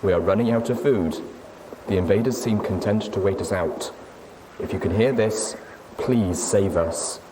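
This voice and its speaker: A man's voice: lowish voice, normal speed, lightly acted. A man voicing a desperate mare leader who pleads urgently in a distress broadcast. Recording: clearly noisy recording.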